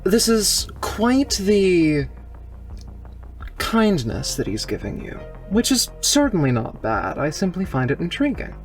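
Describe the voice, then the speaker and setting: Cyborg woman, acted by a player, formal, measured, wry, intrigued, tabletop game, music behind. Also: fairly low pitch, sing-song, voice pushed up, full character voice. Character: poised, intrigued cybernetic woman with mechanical arms.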